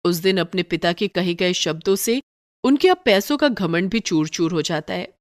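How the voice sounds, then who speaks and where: A woman's voice: fairly low pitch, animated, moderate pace, dry recording, clean studio sound. A woman storyteller reading scripted narration for a moral story.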